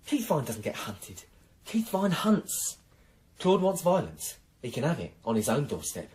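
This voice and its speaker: Cocky small-time crook, lively pitch. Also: male voice, measured pace. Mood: cocky, menacing. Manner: boastful, speaks of himself by name. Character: cocky small-time male criminal, boastful, jumpy, self-dramatising. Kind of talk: radio thriller acting.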